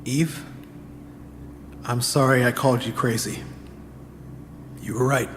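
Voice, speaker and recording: An actor playing an earnest, questioning disciple of a god in an audio drama. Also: male voice, medium pitch, steady tone, slow, a little hiss or hum. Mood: quiet, apologetic. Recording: long reverb, loud music underneath.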